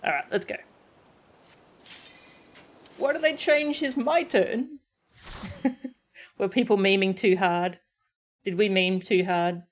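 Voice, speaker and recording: Slow-paced woman streaming a game. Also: medium pitch. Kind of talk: casual live game commentary, reacting. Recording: game music behind the voice. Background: game music and character voice lines.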